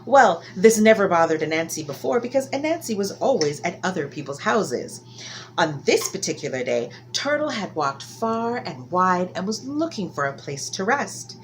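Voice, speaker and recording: A woman telling a folktale to children in an expressive style, stretching key words for dramatic emphasis. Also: on the low side, normal speed, a little hiss or hum. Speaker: children's storyteller.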